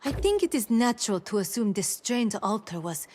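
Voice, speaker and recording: Casting: gracious game quest-giver, a female leader, medium pitch, stately and courteous delivery. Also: sing-song, darkish tone. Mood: gracious, concerned. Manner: formal, measured, courteous. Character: gracious, trusting woman leader giving counsel. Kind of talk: scripted video game quest dialogue.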